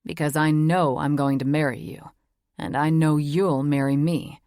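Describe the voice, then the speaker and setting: Woman voicing a low-pitched playful boyfriend. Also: steady tone, moderate pace, a little mellow, no echo, clean studio sound. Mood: tender. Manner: teasing, earnest, swears casually. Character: young man, playful devoted boyfriend. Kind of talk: audiobook character voice.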